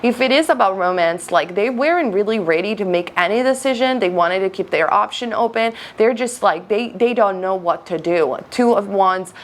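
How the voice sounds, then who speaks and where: A woman with a mid-pitched voice that is recovering from being lost reads tarot cards for her viewers. She talks conversationally and directly to the listener, repeating phrases to press her point. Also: animated, normal speed, hardly any pauses. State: recovering from voice loss. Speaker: tarot reader, online host. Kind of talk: tarot card reading addressed to viewers, conversational.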